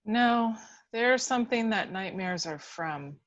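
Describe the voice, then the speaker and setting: Woman reading serious female lab scientist, medium pitch. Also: expressive, slow, no echo, muffled recording. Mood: matter-of-fact. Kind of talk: amateur screenplay table read.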